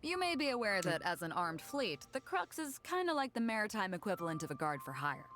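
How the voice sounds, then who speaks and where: A woman's voice: medium pitch, very animated, brisk, a bit bright, faint music behind. A woman voicing a confident, commanding, teasing captain of an armed merchant fleet in a video game.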